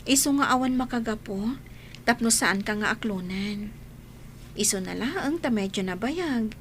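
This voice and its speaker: A woman's voice: fairly high pitch, animated, measured pace, a little hiss or hum. A young woman playing an earnest, cautious single woman being courted in an Ilocano radio drama, with an Ilocano accent. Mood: sweet, sincere.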